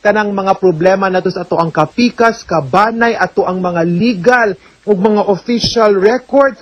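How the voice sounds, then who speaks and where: A woman's voice: fairly high pitch, no room sound. A woman hosting a radio legal advice show, answering listeners' letters in a firm, explanatory way and slipping in English phrases. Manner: mixes in English words and phrases.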